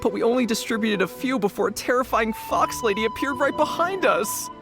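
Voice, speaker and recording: A woman playing an excitable, sheepish young gang underling in a video game, with an earnest, pleading manner. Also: fairly high pitch, a little fast, music in the background, natural voice. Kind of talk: English video game voice acting.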